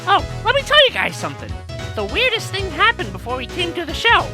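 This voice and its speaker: A woman's voice: extremely high pitch, wildly swooping pitch, a little fast, music in the background, heavily acted. A woman voicing a young male monkey sidekick, cheeky and chatty, in a cartoon audio drama.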